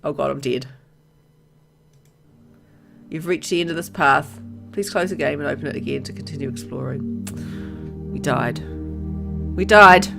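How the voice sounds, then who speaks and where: Let's player, medium pitch, reading story text aloud, informal asides, music in background. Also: moderate pace, creaky, long pauses, pushed and bright. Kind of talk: reading visual novel aloud with casual commentary.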